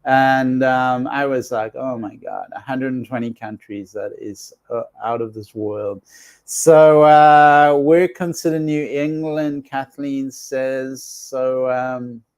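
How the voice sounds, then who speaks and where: A middle-aged man from South Africa chats casually on a livestream, reading out viewer comments. He is congested with allergies and rambles, restarting his sentences and filling pauses. His pitch sits in an ordinary middle range for a man. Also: steady tone, a little mellow, smooth. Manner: rambling, with frequent restarts and fillers. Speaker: livestream host and healer.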